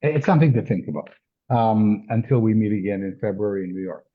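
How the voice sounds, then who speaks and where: A man hosting an interview, asking questions hesitantly with many fillers and pauses. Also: on the low side. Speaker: interview host. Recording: tinny phone-like line.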